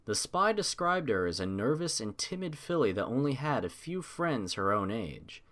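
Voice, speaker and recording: A man narrates an audiobook from a script. His pitch sits in the middle, with lively, animated intonation through the storytelling. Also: moderate pace.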